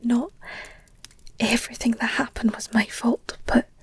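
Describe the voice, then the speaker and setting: A woman performing an audio roleplay as a protective, devoted werewolf girlfriend, speaking in an earnest, tender, halting way with a breathy whisper. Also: on the high side, fairly even intonation, no room sound, heavily acted. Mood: regretful, tender.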